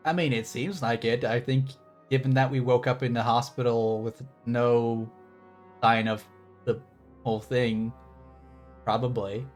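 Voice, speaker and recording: A man's voice: medium pitch, fairly even intonation, measured pace. A man streaming a game with casual, rambling commentary, wry and casually profane. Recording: stream with game music behind.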